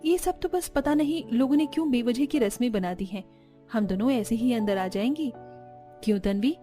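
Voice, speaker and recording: A woman's voice: on the high side, expressive, normal speed, warm tone, soft background music, lightly acted. A woman voicing a responsible, polite young elder sister and new bride in a cartoon story. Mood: helpful, polite. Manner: polite, eager to help. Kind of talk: Hindi cartoon story acting.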